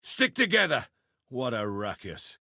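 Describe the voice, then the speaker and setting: A man playing a cocky, menacing arena brawler in a video game, gravelly and taunting in short punchy barks. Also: medium pitch, wildly swooping pitch, unhurried, bright, forceful tone, no echo, studio quality, full character voice. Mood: cocky, combative.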